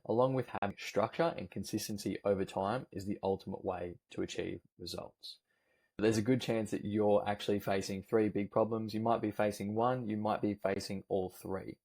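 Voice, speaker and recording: A man from Australia with an Australian accent, a nutrition coach making an upbeat, persuasive pitch that lists points one after another. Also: a little mellow. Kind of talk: sales pitch video.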